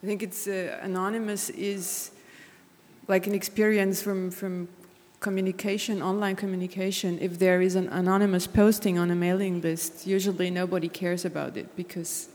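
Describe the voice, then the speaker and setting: A woman's voice: lowish voice, level tone, moderate pace, a little mellow. A woman artist speaking on a panel, searching for words with many restarts. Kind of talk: panel discussion. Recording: long hall reverb.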